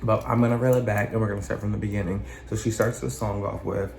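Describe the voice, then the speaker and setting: A man talking in a casual, earnest monologue, his voice still rough from being sick, often circling back and restarting his phrases. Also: on the low side, fairly even intonation, fast, darkish tone, reverberant. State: recovering from illness, voice still rough. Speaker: channel host.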